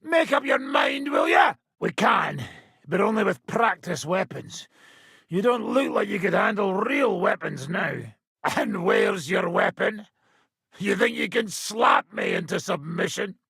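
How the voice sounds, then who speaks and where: Video game role: boisterous, hard-drinking medieval fight trainer, very husky and forceful, gruff taunts, unhurried delivery. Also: male voice, high voice, lively intonation, no echo, clean studio sound, exaggerated voice. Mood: gruff, taunting. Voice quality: raspy, gravelly.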